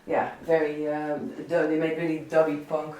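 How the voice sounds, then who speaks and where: Man with a southern Dutch accent, relaxed record talk full of fillers; slightly high pitch, recorded at a distance in a reverberant room with faint noise. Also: normal speed. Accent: Dutch, southern Brabant. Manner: hesitant, many fillers and restarts. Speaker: DJ and record selector. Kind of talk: casual talk about favourite records. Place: indoor listening session. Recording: echoey room, mic at a distance.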